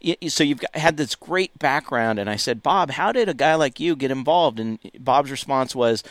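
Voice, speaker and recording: A man's voice: mid-range voice, lively intonation, normal speed, fairly bright tone, no echo. A man hosting a talk radio show, speaking enthusiastically and rambling, with many restarts. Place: radio studio.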